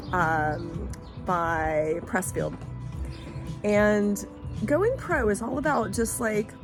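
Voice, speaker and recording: A middle-aged woman vlogging in a gentle, reflective, conversational way, musing aloud with frequent "you know" and "like", over background music. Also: medium pitch. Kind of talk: reflective motivational talk to camera.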